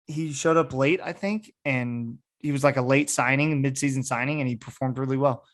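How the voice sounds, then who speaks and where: A man's voice: mid-range voice, moderate pace, a bit bright. A man hosting a sports podcast, giving casual, enthusiastic commentary like a keen fan.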